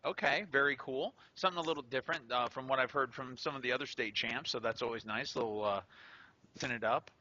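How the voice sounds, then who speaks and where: A man's voice: mid-range voice, fast talker, bright, forceful tone. A man hosting a casual interview, upbeat and joking, with many fillers. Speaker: show host interviewing a tournament winner. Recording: lo-fi recording.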